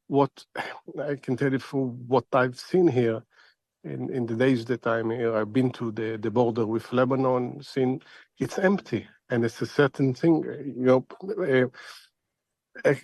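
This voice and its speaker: A middle-aged man speaks as an expert analyst in a news interview. His delivery is halting and deliberate, circling back over words while he thinks. The voice is medium in pitch and recorded dry. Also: a little mellow, no room sound.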